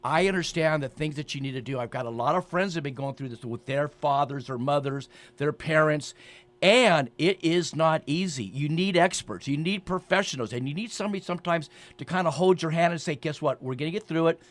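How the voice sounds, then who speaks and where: A man's voice: a little high, brisk. A man in his sixties hosting a promotional radio talk show, speaking emphatically and repeating phrases for effect. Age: 60s.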